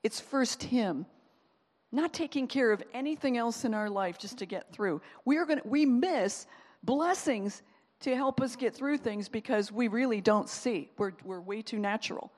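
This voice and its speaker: A woman pastor giving a sermon, talking in an animated, conversational way and acting out quotes as she goes. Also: a little high, normal speed, in a large hall.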